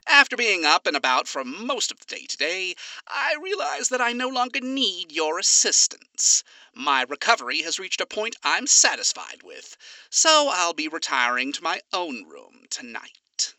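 A reader voicing a smug, courtly male radio demon who speaks in formal, gentlemanly phrasing. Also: mid-range voice, wildly swooping pitch, moderate pace, no room sound, pitched above the performer's own voice, full character voice, low-quality recording. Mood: breezy.

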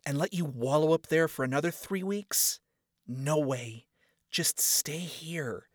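A man voicing a kind but stubborn young demon princess in a lifted, softer voice. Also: on the high side, takes it slowly, dry recording, studio quality, pitched above the performer's own voice. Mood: exasperated.

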